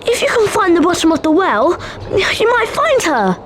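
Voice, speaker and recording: High-pitched young magic-school student. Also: female voice, sing-song, moderate pace, noisy background. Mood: worried, pleading.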